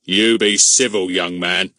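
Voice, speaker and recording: A man's voice: mid-range voice, big pitch swings, unhurried, a bit bright, no room sound, pitched above the performer's own voice. A man voicing a pompous, bullying village policeman who blusters at a boy.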